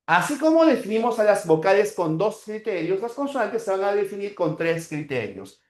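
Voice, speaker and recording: A man's voice: sits high, very animated, moderate pace, darkish tone. A man with a Peruvian accent lecturing a class, repeating key terms and checking in with short tag questions. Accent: Peruvian Spanish. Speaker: teacher. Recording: roomy echo.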